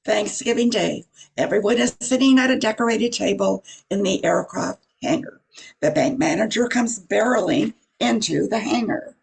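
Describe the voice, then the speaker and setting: A narrator with a gravelly, husky voice reading a scripted radio drama. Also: medium pitch, moderate pace.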